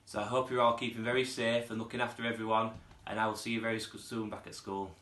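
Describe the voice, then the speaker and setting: A man's voice: fairly low pitch, fast, reverberant. A man, a primary school teacher reading a children's storybook aloud expressively. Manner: expressive, putting on character voices.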